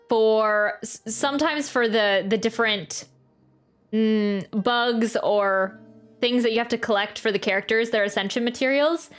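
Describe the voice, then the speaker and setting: A woman gives casual live commentary on a game. Her voice is fairly high and her delivery slow, thinking aloud and circling back over phrases. Game music plays behind her. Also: a bit bright. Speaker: game streamer.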